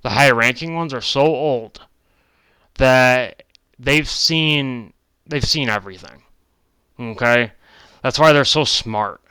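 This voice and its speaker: A man's voice: medium pitch, takes it slowly, pauses often, bright, forceful tone, smooth, no echo. A man in his twenties giving a rambling, casual lecture over slides on his own channel, often breaking off into digressions and correcting himself. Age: 20s. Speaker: channel host.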